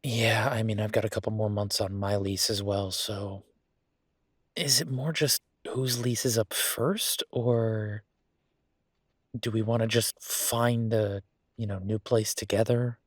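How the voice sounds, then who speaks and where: Man acting as a low, husky, easygoing boyfriend. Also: fairly even intonation, measured pace, no echo, studio quality. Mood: tentative, affectionate, then thoughtful, hopeful. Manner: hesitant, rambling, conversational. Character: affectionate young male werewolf boyfriend, earnest and easygoing. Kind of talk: intimate audio roleplay, casual conversational acting.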